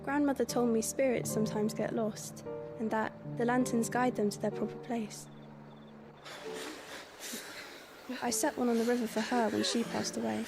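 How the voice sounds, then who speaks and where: Gentle, higher-voiced young village healer. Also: female voice, level tone, fairly quick, vocal fry, over music. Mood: wistful. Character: kind, modest young village healer girl. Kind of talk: dramatic video game voice acting.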